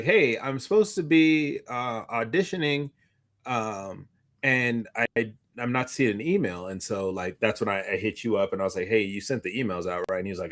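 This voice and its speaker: A man chatting casually as a podcast co-host, rambling through anecdotes in an informal way. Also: medium pitch. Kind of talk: casual conversational chat.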